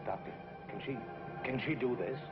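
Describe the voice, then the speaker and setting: An actor playing an earnest, indignant space commander in a 1950s TV drama, asking urgent questions with emphasis. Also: male voice, medium pitch, normal speed, lightly acted. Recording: dull 1950s TV soundtrack with music.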